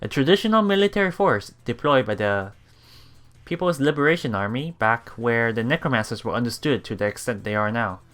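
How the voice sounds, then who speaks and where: A man's voice: mid-range voice, expressive, fairly bright tone. A man reading visual novel text aloud for a let's play, stumbling over words and adding his own reactions. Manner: halting, stumbles over words and repeats them. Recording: music behind the voice. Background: game music.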